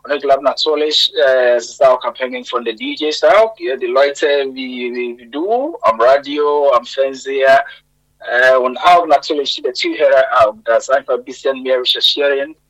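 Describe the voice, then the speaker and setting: A man's voice: mid-range voice, normal speed. Man from Ghana, dull radio sound. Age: 30s. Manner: relaxed, repeats words, simple German with slips. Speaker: musician guest. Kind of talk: radio interview.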